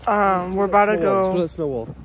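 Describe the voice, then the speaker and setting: A teenage girl filming a casual vlog on her phone, talking to viewers in a hushed morning voice and later out in the snow. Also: medium pitch, slow, crowd noise, low-quality recording. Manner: whispery early-morning talk, bubbly and excited outdoors. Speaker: vlogger. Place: at home, then outdoors in snow. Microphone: handheld phone.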